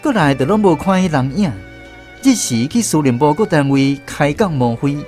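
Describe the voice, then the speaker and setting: An actor playing a young soldier with a Taiwanese accent, narrating memories of army days in a radio drama. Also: male voice, fairly high pitch, lively intonation, moderate pace, a little mellow, faint music behind, natural voice. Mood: recounting.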